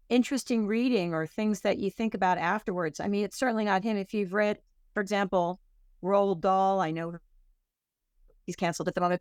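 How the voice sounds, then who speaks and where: A woman author being interviewed on a podcast, answering thoughtfully and often pausing to rephrase. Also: medium pitch, clear, resonant tone, no echo, studio quality. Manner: thoughtful, self-correcting, searching for words. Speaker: author guest.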